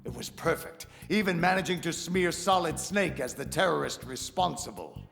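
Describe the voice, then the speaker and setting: Calculating villain, grandiose, gravelly, widely swooping delivery, game drama. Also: male voice, a little high, lively intonation. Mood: smug, brooding. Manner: slow, grandiose, deliberate. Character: scheming calculating middle-aged man, rebel leader of a secret army. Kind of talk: dramatic video game voice acting. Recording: long reverb, music underneath. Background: clear music.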